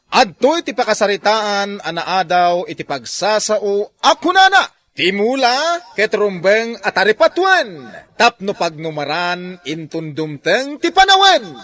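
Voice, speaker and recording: Slow, high, dramatic Ilocano male announcer. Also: sing-song, pushed and bright. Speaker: programme announcer. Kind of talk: dramatic scripted programme introduction.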